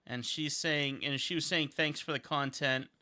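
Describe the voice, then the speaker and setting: A man's voice: a little high, fairly even intonation, moderate pace, pushed and bright, smooth. A man in his thirties from Montana, chatting casually and upbeat while he draws on a livestream, rambling and thinking aloud. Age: 30s. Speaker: caricature artist and videographer.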